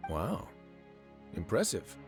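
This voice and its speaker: A man's voice: lowish voice, wildly swooping pitch, slow, over music, full character voice. A man voicing a self-assured, teasing prison warden in a video game, with a wry and casual delivery. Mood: teasing, amused. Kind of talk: game voice acting.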